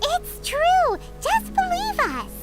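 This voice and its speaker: A woman's voice: extremely high pitch, huge pitch swings, takes it slowly, full character voice. A woman voicing a small, cheeky, childlike fairy companion in an anime game, blunt and teasing, with music underneath. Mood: supportive, insistent.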